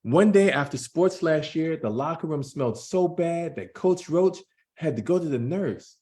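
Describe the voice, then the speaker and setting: A man's voice: on the high side, expressive, normal speed, mellow, pitched above the performer's own voice, natural voice. A man voicing an anxious, earnest thirteen-year-old schoolboy in a children's graphic novel read-aloud, with a fretful, youthful manner.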